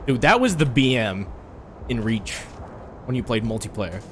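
A man giving loud, crude, excitable commentary while he plays a video game, voice going hoarse. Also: mid-range voice, moderate pace, slight background noise. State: hoarse, losing his voice. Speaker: gamer streaming gameplay. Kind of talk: casual gameplay commentary. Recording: game music and sounds behind.

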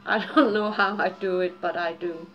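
A woman's voice: fairly low pitch, steady tone, unhurried, lo-fi sound. A woman in her forties with a Japanese and Danish accent, chatting candidly to a webcam at home in a dim living room, rambling, laughing often and cursing freely. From Japan and Denmark. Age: 40s. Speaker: vlogger. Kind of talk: casual chat to camera.

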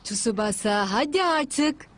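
A woman's voice: sits high, expressive, slow, a little hiss or hum. A woman playing a busy, affectionate mother of a young boy in a cartoon. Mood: chivvying.

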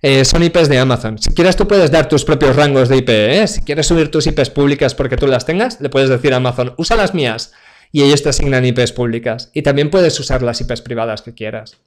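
A man's voice: mid-range voice, brisk. A man in his forties from Spain, giving a joking, chatty online tech talk with a live demo, speaking to the audience over a webcam from his home office. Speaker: cloud computing speaker. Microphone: webcam video call.

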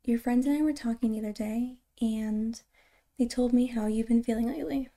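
A woman playing a caring, reassuring girlfriend in an ASMR roleplay, soft-spoken and breathy, close to a whisper, with halting, tender delivery. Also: a little high, fairly even intonation, lightly acted. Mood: gentle concern.

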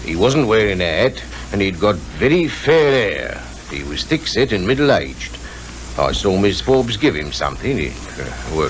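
An actor playing an obliging, plain-spoken hotel porter with a British accent, in a 1950s radio drama. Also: male voice, fairly low pitch, low-quality recording. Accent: British English. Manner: plain-spoken, deferential, step-by-step telling. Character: observant, obliging male hotel porter giving his account. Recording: 1950s radio recording with faint noise.

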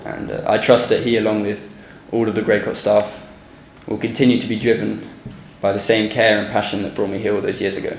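A man's voice: lowish voice, level tone, gravelly. Young man speaking sincerely from a podium. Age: young adult. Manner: measured, sincere, some hesitant restarts. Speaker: former student speaker. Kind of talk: prepared speech at a podium. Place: school hall stage. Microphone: podium microphone. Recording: echoey hall, faint background noise.

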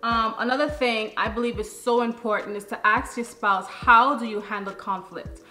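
A young woman speaks to camera with a bright, forceful voice. She explains things emphatically and casually, like a vlog host giving advice. The room is echoey and faint music plays underneath. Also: on the high side, steady tone, moderate pace. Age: young adult. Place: living room, on a sofa.

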